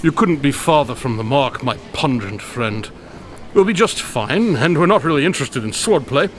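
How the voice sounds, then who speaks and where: Man acting a wry, pompous gentleman detective. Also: mid-range voice, lively intonation, moderate pace, lightly acted. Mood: breezily confident. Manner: dry, pompous, precise wording. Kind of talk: radio drama acting.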